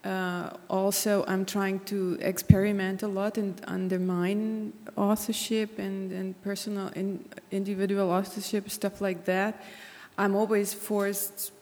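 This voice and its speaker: Woman artist speaking flatly on a panel. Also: medium pitch, a little slow. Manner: searching, hesitant, with many restarts. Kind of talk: panel discussion. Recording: long hall reverb.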